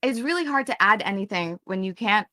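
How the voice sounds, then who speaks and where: A woman's voice: medium pitch, expressive, fairly quick, sharp tone. A woman in her thirties arguing hard in an online debate, exasperated and swearing, talking over the other side. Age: 30s. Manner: exasperated, confrontational, swears, talks over him. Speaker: debate guest.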